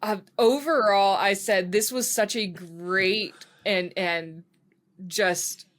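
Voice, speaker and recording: A woman's voice: medium pitch, takes it slowly, a bit bright. A woman co-hosting a podcast, casually reviewing music and thinking aloud as she talks. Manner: conversational, thinking aloud with frequent "like". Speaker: podcast co-host. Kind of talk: casual album review chat.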